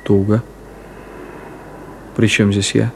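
A man's voice: lowish voice, level tone, slow, vocal fry, a little mellow, a little hiss or hum. An actor playing a cynical, detached middle-aged man in a 1970s Soviet radio play, with an ironic, clipped theatrical delivery. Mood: dismissive, ironic.

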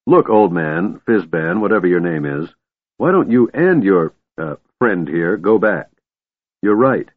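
A man's voice: low voice, lively intonation, unhurried, phone-quality sound. A man voicing an exasperated, commanding half-elf adventurer in an audiobook, snapping impatiently.

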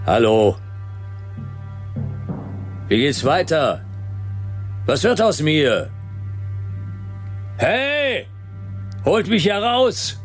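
An actor playing a tough, skeptical private detective in a radio play, with a dry manner. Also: male voice, on the high side, very animated, drawn-out words, long pauses, soft background music, full character voice. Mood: indignant.

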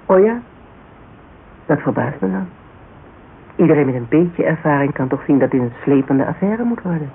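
An actress playing a cautious, sceptical female private detective in a conversational Dutch radio drama, measured and probing. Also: sits low, expressive. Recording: narrow, faintly noisy old radio broadcast.

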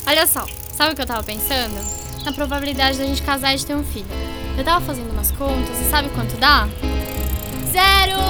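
A woman playing a bright, eager, teasing schoolgirl in a scripted educational radio drama. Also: sits high, sing-song, normal speed, music in the background. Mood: playful, teasing.

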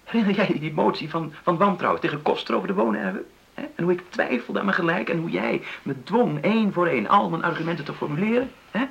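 An actor in a radio drama playing an earnest, conciliatory middle-aged husband who stammers and searches for words in a creaky voice. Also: male voice, sits high, expressive, quick pace, a bit bright, muffled recording, full character voice. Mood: insistent. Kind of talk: naturalistic radio drama dialogue.

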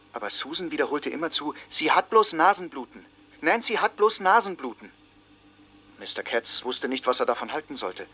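An actor playing a sober small-town schoolteacher in a radio drama, giving grave, deliberate witness testimony with heavy pauses. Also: male voice, medium pitch, pushed and bright. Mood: urgent, tense.

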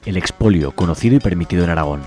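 A man's voice: deep voice, very fast, darkish tone. A man with an accent from Spain, hosting community radio and reading news headlines. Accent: Spanish, from Spain. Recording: music bed behind voice. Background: background music.

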